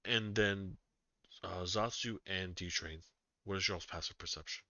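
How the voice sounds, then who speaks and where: Deep-voiced man running the game. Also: steady tone, sharp tone, dry recording. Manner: halting, many ums and restarts. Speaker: game master. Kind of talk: narrating a tabletop game over voice chat. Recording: dull lo-fi mic.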